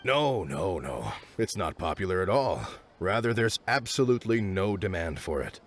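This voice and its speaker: A man's voice: sits low, big pitch swings, moderate pace, a little hiss or hum. A man voicing an unassuming secondhand seller in a video game, speaking casually and hesitantly. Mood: sheepish, surprised. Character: unassuming, hesitant male secondhand market seller, mild and uncertain.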